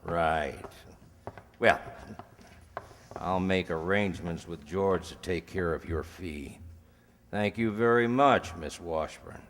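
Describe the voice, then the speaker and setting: An actor playing a confident, calculating drug dealer in a radio crime drama, deliberate and oilily polite. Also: male voice, deep voice, fairly bright tone. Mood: precise, controlled. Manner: deliberate, insistently precise, oily courtesy. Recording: reverberant hall.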